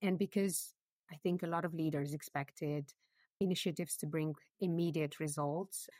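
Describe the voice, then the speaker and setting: A woman's voice: low-pitched, steady tone, normal speed, warm tone, no echo, clean studio sound. A woman with a Hungarian accent, talking earnestly with many fillers and restarts as a guest in a discussion. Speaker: executive producer, guest. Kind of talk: conversational discussion. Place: London, UK.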